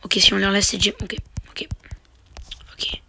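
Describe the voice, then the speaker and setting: A man's voice: high-pitched, normal speed, vocal fry, whispered. Young man with a sore throat, gaming chatter. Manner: excitable, slangy, drops to muttering under his breath. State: sore throat, slightly congested. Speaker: mobile game youtuber. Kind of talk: live gameplay commentary.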